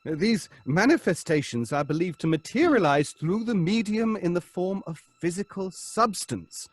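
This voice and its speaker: An actor playing an earnest, anxious séance leader in an old-style radio horror drama, formal and hesitant. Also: male voice, high-pitched, lively intonation, moderate pace, no echo. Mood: reproving, solemn.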